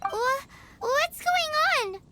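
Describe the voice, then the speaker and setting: A woman voicing a small, excitable, childlike fairy companion in a video game, with a squeaky voice. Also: extremely slow, exaggerated voice. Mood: puzzled. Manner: refers to self in third person, excitable. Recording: children's music behind the voice.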